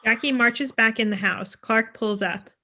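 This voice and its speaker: A woman's voice: fairly high pitch, measured pace, bright, forceful tone. A young woman reading scripted stage directions as narrator on a video call. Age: young adult.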